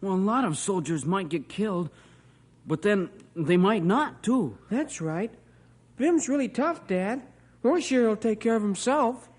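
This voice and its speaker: A man's voice: high voice, lively intonation, a little slow, pitched above the performer's own voice. A man playing two eager young boys in an old radio drama. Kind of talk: 1950s radio drama acting.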